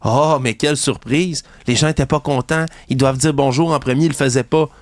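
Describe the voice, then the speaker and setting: A man's voice: fairly even intonation, a little fast, dry recording. A man with a Québécois accent hosting a commentary show, ranting with indignation and sarcasm about a news story. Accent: Québécois French. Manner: indignant, ranting, sarcastic, mocks opponents in high voices. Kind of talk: heated opinion commentary.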